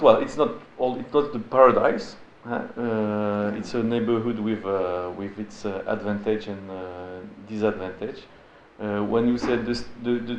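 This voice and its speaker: Low-voiced man in an echoey room. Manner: halting, searching for words. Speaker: interviewee, local resident. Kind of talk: casual interview talk. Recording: roomy echo with faint background noise.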